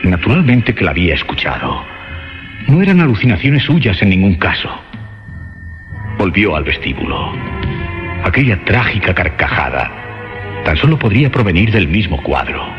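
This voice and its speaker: A man narrating a horror radio play in a theatrical, suspenseful way, with emphatic phrasing and dramatic pauses. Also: sits low, sing-song. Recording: dull lo-fi audio under music. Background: dramatic music.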